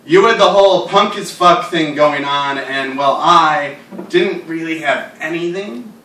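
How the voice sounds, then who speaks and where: Slow, deadpan man reading aloud, echoey. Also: fairly high pitch. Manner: deadpan, wry, serious delivery of absurd lines. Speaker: writer reading his own work aloud. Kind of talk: live literary reading of stories and poems. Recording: roomy echo, distant mic.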